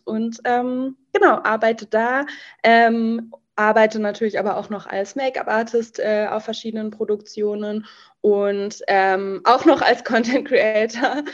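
A woman's voice: a little high, lo-fi sound. A woman in her early twenties chatting casually as a podcast guest, bubbly and slangy, with many hesitant fillers. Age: early 20s. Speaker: podcast guest, beautician and entrepreneur. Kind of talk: casual interview chat.

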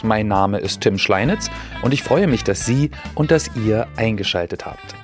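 A man hosting a history podcast, reading a measured scripted introduction. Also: fairly low pitch, dry recording, studio quality. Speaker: podcast host.

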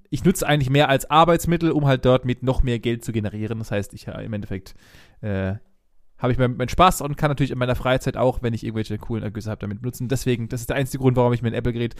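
A man's voice: medium pitch, quick pace, dry recording. Rambling man in his thirties, dry sound. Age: 30s. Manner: rambling, laughs often, many fillers and restarts. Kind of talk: casual chat.

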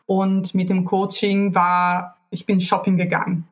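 Woman, talks slow, lots of umms, guest on a chat podcast. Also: on the low side, monotone. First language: Italian. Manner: hesitant, searching for words with many fillers. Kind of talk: casual conversation.

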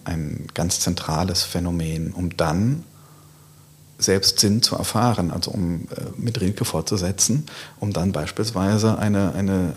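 Low-voiced man, halting and deliberate. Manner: halting, deliberate, pausing mid-phrase. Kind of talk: reflective intellectual discussion.

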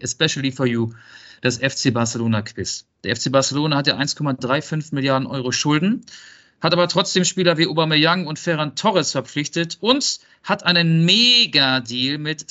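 A man co-hosting a casual football podcast and acting as quizmaster in relaxed conversation. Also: medium pitch, dull audio.

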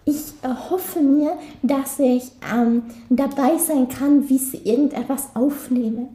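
A young woman with a high, mellow voice and a light Carinthian Austrian accent. She talks in a chatty way, laughs a lot and restarts her sentences as she goes. The room gives her voice a noticeable echo. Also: takes it slowly. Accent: Austrian German, light Carinthian. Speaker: interview guest. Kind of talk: casual interview chat.

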